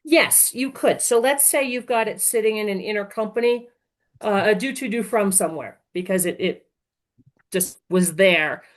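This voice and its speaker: A woman giving an informal, explanatory webinar as a software trainer, phrasing things piece by piece and correcting herself as she goes. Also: medium pitch, normal speed.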